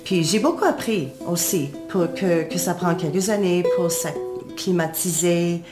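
A woman's voice: fairly low pitch, a little slow, reverberant. A woman, formerly director of a music nonprofit, telling her story earnestly in a radio interview and explaining things step by step.

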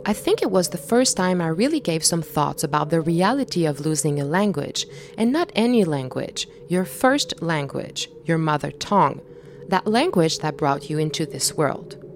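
Quebec-born woman host, thirties, French native, lowish animated pitch, faint noise under the narration. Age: 30s. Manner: reflective, measured. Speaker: documentary host. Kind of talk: scripted narration and interviewing.

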